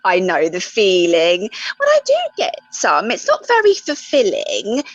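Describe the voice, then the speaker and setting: A woman acts a saucy, gossipy ship's officer in a radio comedy. The voice is highish and hugely animated, husky, in a dry recording. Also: a little slow, no room sound, faint music behind, heavily acted. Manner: chatty, indignant, innuendo-laden. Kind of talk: comic radio play acting. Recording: dull lo-fi close recording.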